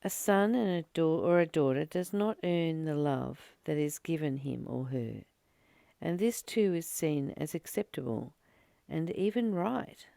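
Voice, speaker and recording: A woman reading a spiritual study text aloud and explaining it informally, in a halting, reflective way with pauses between short phrases. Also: sits low, creaky, mellow, no echo. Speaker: spiritual study reader and commentator. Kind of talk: slow read-aloud study with informal commentary.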